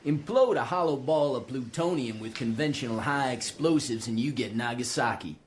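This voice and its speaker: An actor playing a folksy, swaggering American military scientist in a radio drama, breezy and glib with an American accent. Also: male voice, mid-range voice, expressive, normal speed, a little hiss or hum, close to an everyday voice. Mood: brisk, showy briefing. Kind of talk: British radio drama acting.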